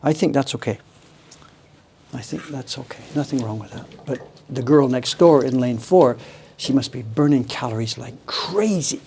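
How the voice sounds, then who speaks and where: A man's voice: mid-range voice, normal speed, gravelly, darkish tone, a little hiss or hum. A man in his seventies chatting casually on a live stream while demonstrating his workshop tools, rambling and breaking into amused laughter. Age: 70s.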